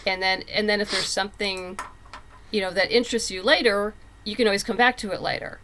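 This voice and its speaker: A woman's voice: medium pitch, fairly even intonation, brisk, background noise. A woman talking casually as a guest in an interview, acting out little quoted asides as she goes. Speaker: interview guest, comic book writer.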